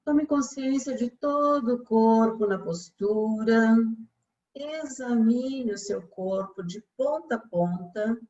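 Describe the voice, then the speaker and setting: A Brazilian woman guiding a relaxation meditation as a yoga instructor, speaking calmly and soothingly with long pauses. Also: on the high side, highs cut off.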